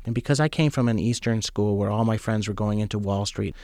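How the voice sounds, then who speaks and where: A man's voice: fairly low pitch, brisk, a little mellow, no echo, studio quality. A man, a journalist and former trader, telling a personal story in a candid, conversational way.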